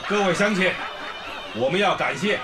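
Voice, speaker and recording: An actor playing a village leader who gives a hearty, rallying speech at a feast. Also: male voice, high-pitched, expressive, moderate pace, noisy background. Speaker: village leader raising a toast. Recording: festive crowd and music behind.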